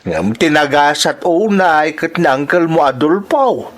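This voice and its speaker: A man playing a kindly, grateful older village client who talks slowly and amiably in an audio drama. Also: a little high, sing-song.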